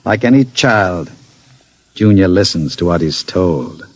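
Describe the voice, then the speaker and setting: Slick evil crook, old radio drama, low husky voice, really expressive, fake polite. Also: male voice, a little slow, no echo. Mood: suave, coaxing. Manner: smooth-talking, mock-polite, insinuating. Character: suave, scheming male criminal, coolly sinister manipulator.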